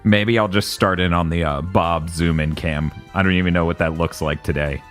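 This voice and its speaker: A man co-hosting a casual gaming podcast, animated and swearing as he tells stories. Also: low voice, normal speed, faint music behind. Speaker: podcast co-host.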